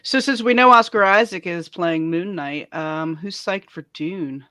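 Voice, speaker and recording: A woman's voice: lowish voice, measured pace, bright, forceful tone, dry recording, clean studio sound. A woman hosting a casual geek culture panel chat, informal and given to repeating her phrases for emphasis.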